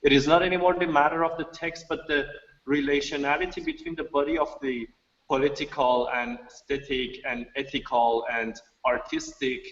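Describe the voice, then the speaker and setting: A man's voice: mid-range voice, steady tone, normal speed, fairly bright tone. Hesitant Persian-speaking man in an echoey room. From Iran. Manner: hesitant, searching, many restarts. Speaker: seminar participant, artist. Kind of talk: online seminar discussion. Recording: dull echoey room audio.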